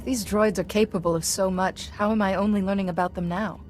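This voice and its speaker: An actress playing a calm, resolute female Jedi in a video game, speaking in a composed, earnest way. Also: mid-range voice, brisk, lightly acted. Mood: composed, concerned. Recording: dramatic music underneath.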